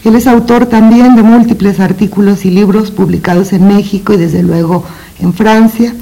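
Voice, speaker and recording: A woman hosting a formal interview, introducing her guest in measured tones and posing questions. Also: medium pitch, steady tone, dark tone, low-quality recording. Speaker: interviewer, host.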